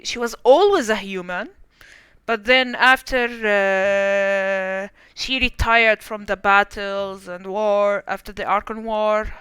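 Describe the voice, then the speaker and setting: A woman's voice: medium pitch, fairly bright tone, clear, resonant tone, no echo. A young woman streamer chatting casually and rambling, with self-deprecating asides, while playing a game. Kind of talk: casual live-stream commentary.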